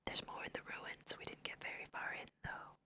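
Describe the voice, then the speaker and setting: A woman's voice: fairly quick, close to an everyday voice. Woman whispering as a timid human trespasser. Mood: nervous. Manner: pleading, timid. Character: frightened human trespasser in fantasy game, pleading.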